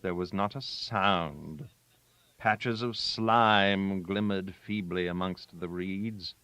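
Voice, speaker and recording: A man narrating a literary story aloud, with a theatrical, wry manner and deliberate emphasis on key words. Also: low-pitched, sharp tone, no echo. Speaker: audiobook narrator.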